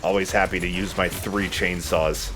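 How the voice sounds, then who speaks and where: A man's voice: sits low, steady tone, normal speed, very noisy. A man giving casual, sweary commentary while he plays a video game. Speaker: let's play host playing the game. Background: game music.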